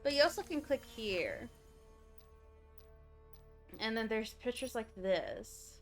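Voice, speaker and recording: A woman's voice: on the high side, big pitch swings, slow pace, faint music behind. A woman livestreaming a game, chatting casually and thinking aloud as she reacts to what is on screen. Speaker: game streamer.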